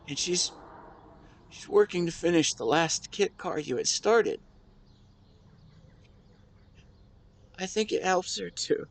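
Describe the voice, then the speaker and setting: A man playing a grieving, tender husband in an intimate audio drama monologue, speaking haltingly and earnestly to his late wife. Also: a little high. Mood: fond, wistful. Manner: halting, earnest, emotional delivery with pauses. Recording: outdoor ambience with birdsong behind. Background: birdsong and nature ambience.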